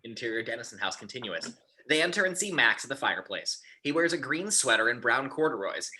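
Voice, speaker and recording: A man's voice: mid-range voice, very animated, brisk, bright, forceful tone, slight background noise, poor audio. A man narrating the scene headings and action lines of a screenplay at an informal table read. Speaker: narrator of stage directions.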